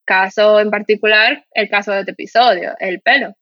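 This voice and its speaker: A woman's voice: medium pitch, normal speed, no room sound, muffled recording. A woman from the Dominican Republic with a mild Dominican Spanish accent, hosting a casual podcast and telling indignant stories full of fillers. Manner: animated, indignant, storytelling with many fillers. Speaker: podcast host.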